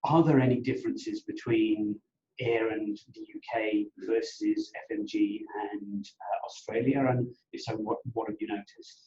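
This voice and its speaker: A middle-aged man interviewing a colleague in a conversational, measured and slightly hesitant way. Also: fairly even intonation, dark tone. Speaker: managing director conducting the interview. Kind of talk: workplace interview, conversational questions. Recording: dull audio with clear low rumbling noise.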